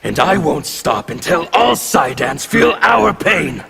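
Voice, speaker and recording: A fanatical anarchist warrior from a fighting game declaims with defiance. The voice is gravelly and sharp, fairly high for a man, and swoops wildly as it threatens and incites. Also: big pitch swings, slow pace, over loud music, heavily acted. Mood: furious outrage. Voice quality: gravelly, intimidating. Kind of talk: video game character dialogue.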